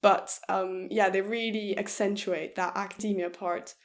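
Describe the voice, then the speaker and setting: A woman hosting a casual video call interview, asking short, relaxed questions and backing the guest up. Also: mid-range voice, a little slow. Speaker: interviewer, host.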